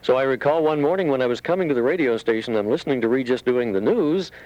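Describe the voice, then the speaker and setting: An older man speaks as a veteran radio guest in a studio. His voice sits at an average pitch, and he tells his stories in a conversational, anecdotal way. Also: lively intonation, fast talker, a little mellow, no echo, rough recording.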